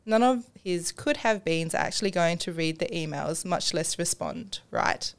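A woman's voice: fairly low pitch, animated, normal speed. Casual woman chatting about books. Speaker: podcast co-host. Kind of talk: casual book chat.

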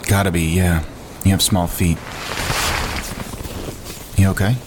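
A man playing a steady, laconic wildlife photographer on a winter camping trip, understated and calm, in a naturalistic audio drama. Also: low voice, slow pace. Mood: concerned. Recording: clear outdoor ambience noise behind.

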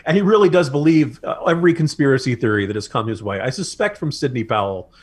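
A man's voice: mid-range voice, expressive, fast talker, breathy edge. An American man co-hosting a political podcast, giving opinionated commentary in an emphatic, sardonic way with mocking asides.

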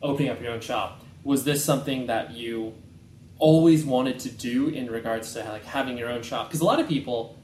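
A man's voice: mid-range voice, brisk, darkish tone, slight background noise. A man hosting an interview in a tattoo shop, asking long, rambling questions in a casual, chatty way. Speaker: interviewer, podcast host. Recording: echoey room.

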